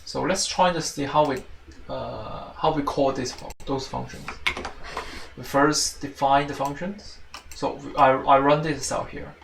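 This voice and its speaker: A roomy, slightly distant recording with faint noise carries a man with a Chinese accent. His mid-pitched voice has a creaky edge. He explains step by step like a teaching assistant, haltingly, circling back to repeat and reword his phrases. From China. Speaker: teaching assistant giving a programming tutorial. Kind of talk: informal spoken tutorial walkthrough.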